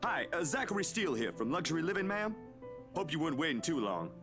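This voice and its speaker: Visual novel role: talkative photographer guy, slightly high male voice, informal, clear guitar music behind. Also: sing-song, fast talker. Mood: breezy. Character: chatty, casual magazine photographer man. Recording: music playing over the voice.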